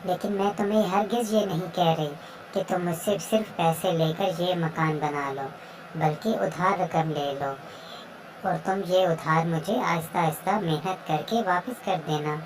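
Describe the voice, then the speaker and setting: Kind, anxious female pigeon for a children's cartoon, woman performer, slightly low register, busy crowd sound behind. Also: normal speed, close to an everyday voice, low-quality recording. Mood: kind, persuading. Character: kind, worried female pigeon, caring wife and neighbour. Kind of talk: children's cartoon story dialogue.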